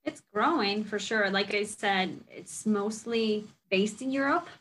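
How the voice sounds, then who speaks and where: A woman's voice: medium pitch, a little slow, a bit bright. A chatty young woman you might hear hosting a parenting podcast, casually thinking things through with a colleague. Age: young adult. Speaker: host and researcher. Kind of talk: casual reflective conversation.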